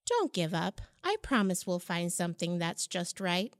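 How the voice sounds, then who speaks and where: A woman voicing a kind, determined young princess in a children's storybook, speaking eagerly and gently. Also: lowish voice, big pitch swings, moderate pace, dry recording.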